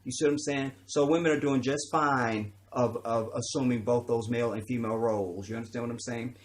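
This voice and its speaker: A man's voice: mid-range voice, steady tone, brisk. Preachy man lecturing on livestream. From born in Chicago, raised around the US. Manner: preachy, emphatic, profane, keeps asking "you understand?". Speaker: livestream host. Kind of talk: unscripted livestream monologue.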